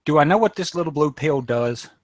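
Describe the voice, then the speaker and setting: A man's voice: a little high, lively intonation, fairly quick, dry recording. A man playing a tabletop game, talking casually and asking the game master questions. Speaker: player.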